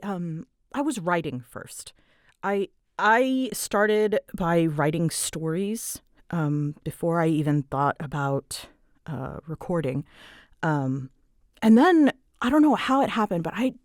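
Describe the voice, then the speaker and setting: A woman's voice: medium pitch, no echo. A woman chatting casually and dramatically in a scattered, self-deprecating way, her voice swooping up and down, recorded close on a mic in a small closet. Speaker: ASMR audio creator and voice performer. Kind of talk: casual rambling Q&A chat.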